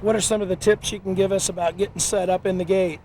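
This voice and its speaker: High-voiced upbeat man hosting a how-to. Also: level tone, fast. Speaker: host and trainer. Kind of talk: upbeat instructional narration and interviewing.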